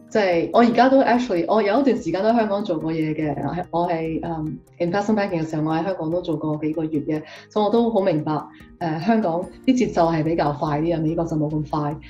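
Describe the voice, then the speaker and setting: A woman talks through her answers in a relaxed, chatty way, full of fillers and self-corrections. Her voice sits a little low and sounds mellow. She is heard on a dull, muffled recording from some distance off the mic, with faint music behind her. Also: quick pace. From Hong Kong. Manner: chatty, with many fillers and restarts. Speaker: guest tax professional. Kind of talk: casual interview answers.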